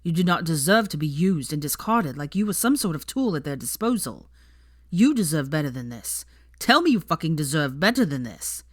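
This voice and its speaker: Woman acting a fierce, low-voiced young wizard. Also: big pitch swings, moderate pace, no room sound, clean studio sound. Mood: intense, commanding. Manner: forceful, snarling, clipped commands. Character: fierce, protective young wizard man, sharp-tongued and intense. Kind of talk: acted audiobook dialogue.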